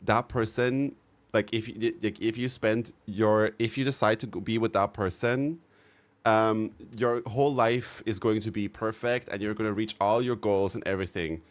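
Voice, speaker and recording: Low-voiced man chatting on stream. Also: normal speed. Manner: animated, swears freely, laughs often. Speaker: live streamer. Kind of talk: casual chat with live viewers.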